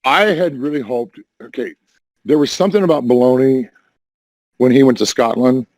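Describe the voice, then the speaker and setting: A hoarse man rambling defensively in casual voice chat, restarting and repeating himself. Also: mid-range voice, normal speed, darkish tone. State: hoarse, says voice is damaged. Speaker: Discord voice chat caller.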